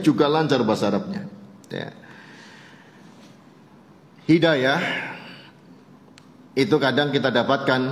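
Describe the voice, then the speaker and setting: An Indonesian man preaches in a big, reverberant space, his mid-pitched voice ringing with echo. He explains things conversationally, like a teacher telling an anecdote for its lesson, and drops in short tags to check that listeners follow. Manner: conversational, explanatory, often punctuating with short tag words. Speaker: Islamic preacher. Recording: echoey hall with long reverb.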